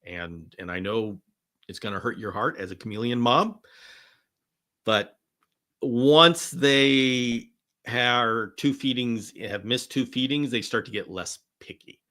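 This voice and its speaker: A man hosts a live question session, answering viewers in a loose, enthusiastic ramble full of false starts. His tone is bright and forward, though his voice sounds worn and is starting to go hoarse. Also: medium pitch, slow. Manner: enthusiastic, rambling with frequent restarts. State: voice going hoarse. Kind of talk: live Q&A chat.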